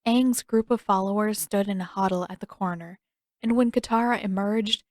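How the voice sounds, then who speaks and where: A woman's voice: medium pitch, fairly even intonation, moderate pace, darkish tone, no echo, clean studio sound. A woman reading scripted fiction aloud as an audiobook narrator.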